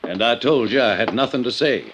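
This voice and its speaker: An actor playing a defensive, indignant small-town American county attorney in Golden Age radio drama style, testy and guarded. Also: male voice, mid-range voice, animated, brisk, noisy background, close to an everyday voice. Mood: curt, hostile.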